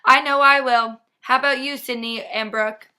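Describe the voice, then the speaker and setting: A young woman presents a scripted, 1950s-style student newscast. Her voice is on the high side with a bright, forward edge. The delivery is rehearsed and presentational. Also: steady tone, normal speed. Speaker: news anchor.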